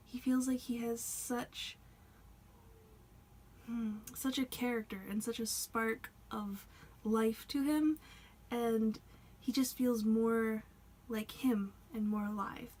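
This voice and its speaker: A slightly high-voiced woman talking casually to her camera. She rambles, laughs nervously, and her voice sounds a little worn, as she is losing it. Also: slightly rough. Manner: rambling, self-conscious, soft-spoken with laughs. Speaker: doll hobby vlogger. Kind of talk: casual vlog talk.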